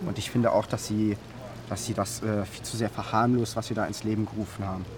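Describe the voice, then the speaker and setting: Actor as low-voiced, halting male activist. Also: steady tone, crowd noise, natural voice. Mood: earnest, halting. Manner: halting, repetitive. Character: earnest, grumbling male citizens' movement activist. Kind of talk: naturalistic radio drama interview. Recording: faint outdoor noise.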